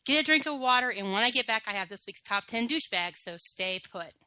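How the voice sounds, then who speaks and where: A woman's voice: fairly high pitch, animated, a little fast, bright, forceful tone. A woman hosting a talk radio show, sarcastic and combative, firing off rhetorical questions, a little froggy from the end of a cold. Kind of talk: opinionated talk radio monologue.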